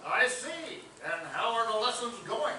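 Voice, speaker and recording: A man acts out a bumbling, boozy male detective with florid, wry delivery in a stage comedy. The sound is heavily echoed and slightly distant, with clear background noise. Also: extremely high pitch, sing-song, measured pace, pushed and bright, exaggerated voice. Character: bumbling, drink-loving old-fashioned male detective with florid wit. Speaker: actor in a staged reading. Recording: echoey, noisy stage recording from afar.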